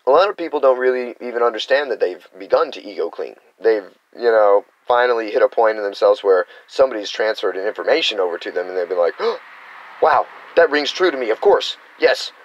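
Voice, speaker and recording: A man's voice: medium pitch, highs cut off. An American man giving a rambling, earnest spiritual teaching, now and then acting out quoted reactions. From United States. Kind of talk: unscripted spiritual teaching monologue.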